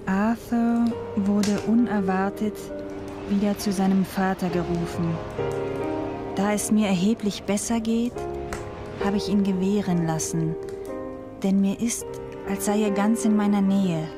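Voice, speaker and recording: A woman acting a frail, trusting young gentlewoman in a gothic audio drama. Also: mid-range voice, steady tone, a little slow, lightly acted. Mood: tender, relieved. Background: music.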